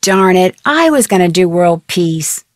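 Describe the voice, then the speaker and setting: A woman's voice: on the low side, lively intonation, measured pace, dry recording, studio quality. A woman voicing a plucky, inquisitive young amateur detective with an American accent in an adventure game, chipper and conversational. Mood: friendly, curious. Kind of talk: scripted adventure game dialogue.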